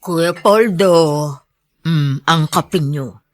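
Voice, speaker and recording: An actress playing a mild Filipino household woman, familiar and gently chiding, in radio drama style. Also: sits low, very slow. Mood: mild, curious.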